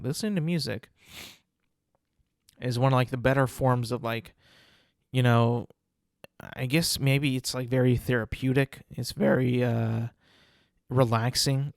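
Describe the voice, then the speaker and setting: A young man talking on his own podcast with a stuffy, congested voice. He rambles loosely, circling back with likes and you-knows, at a middle pitch. The sound is dry and close. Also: creaky, no room sound. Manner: rambling, many fillers and restarts. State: congested, sniffling. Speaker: podcast host. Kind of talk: casual solo podcast talk.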